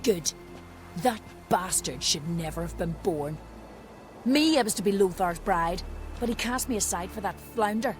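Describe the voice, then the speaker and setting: An actress playing a proud, scorned village herbalist in a fantasy game, vengeful and scornful, with rustic old-fashioned speech. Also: female voice, medium pitch, expressive, moderate pace, soft background music, close to an everyday voice. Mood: bitter, vengeful. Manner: rustic, archaic wording, scornful.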